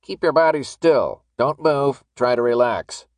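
A man performs a cold masked worker in an audiobook story. The orders are curt and forceful, pitched up from a normal speaking voice. The recording is dry. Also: lively intonation, unhurried, no room sound. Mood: firm. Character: cold, commanding masked workers in protective suits. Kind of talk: audiobook character acting.

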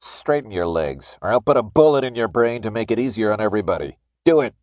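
A man's voice: fairly low pitch, animated, moderate pace, a little mellow, no echo, lightly acted. A man voicing a callous, sneering masked guard in an audiobook, barking threats and gloating. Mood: menacing. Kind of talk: audiobook character acting.

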